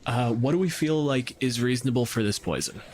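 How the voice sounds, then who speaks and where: A man's voice: mid-range voice, brisk, darkish tone. A man speaking informally as a game master, narrating scenes and ruling on play with casual swearing.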